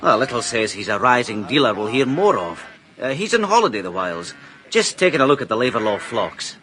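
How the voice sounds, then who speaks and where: A patient Scottish shepherd. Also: male voice, medium pitch, sing-song, a little fast, a bit bright, low-quality recording. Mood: explaining. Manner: patient, explaining. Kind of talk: radio drama acting.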